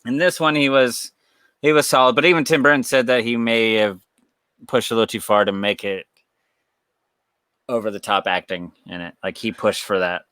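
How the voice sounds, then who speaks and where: A man's voice: medium pitch, fairly even intonation, normal speed, long pauses, fairly bright tone, clean tone, no room sound. A man co-hosting a podcast in loose, rambling chat, laughing often and swearing freely. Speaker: podcast co-host. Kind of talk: casual chat.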